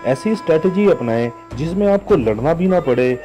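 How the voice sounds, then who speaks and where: Pakistani man, news commentary, swooping lively intonation, mellow tone, emphatic delivery, music behind. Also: on the high side, moderate pace. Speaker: news channel host. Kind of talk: scripted news commentary over video. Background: music bed.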